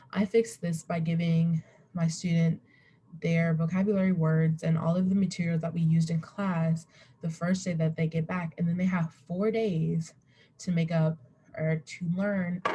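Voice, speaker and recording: A woman's voice: on the low side, fairly even intonation, a little slow, warm tone. A young woman giving an informal slide presentation as a student, rambling and interrupting herself, recorded on a computer at home. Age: young adult. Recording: webcam recording with faint background noise.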